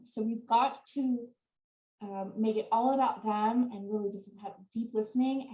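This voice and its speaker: Woman, sales coach, higher voice, earnest, echoey room. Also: a little mellow. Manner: earnest, laughs easily. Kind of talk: interview answers.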